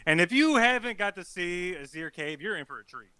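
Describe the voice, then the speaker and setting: A man's voice: high-pitched, animated, fairly quick, sharp tone, smooth, no echo. A man casting an esports match in a laid-back way, speaking straight to the viewers. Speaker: esports caster. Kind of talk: casual esports commentary.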